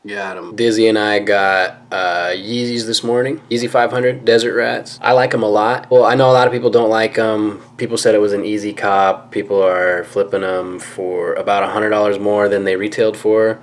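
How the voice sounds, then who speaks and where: A man's voice: fairly low pitch, level tone, moderate pace, few pauses. A young man talking casually and slangily to a handheld camera in a vlog. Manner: laid-back, slangy. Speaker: vlogger. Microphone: camera at arm's length. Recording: noisy camera audio.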